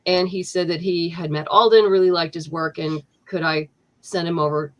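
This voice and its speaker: A woman's voice: lowish voice, fairly even intonation, normal speed. A woman writer being interviewed, talking casually with lots of fillers and restarts. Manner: chatty, many fillers and restarts, laughs easily. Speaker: comic book writer, guest.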